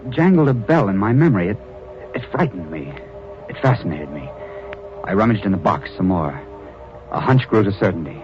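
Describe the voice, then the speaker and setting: An actor playing a newspaper reporter narrating an old radio drama, earnest and slightly halting. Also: male voice, lowish voice. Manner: earnest storyteller, hesitant repeats. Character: newspaper reporter narrating his story, adult man. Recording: dull old radio recording with music.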